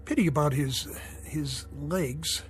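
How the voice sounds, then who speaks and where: A man's voice: on the high side, slow, faint music behind. An actor playing a courteous, chatty male visitor in a radio drama. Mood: friendly.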